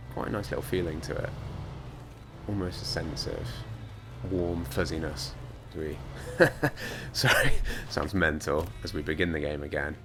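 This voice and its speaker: A British man with a voice croaky from hay fever, giving casual, wryly self-deprecating commentary as he plays a video game. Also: extremely low pitch. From United Kingdom. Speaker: gaming let's player. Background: video game music and truck engine.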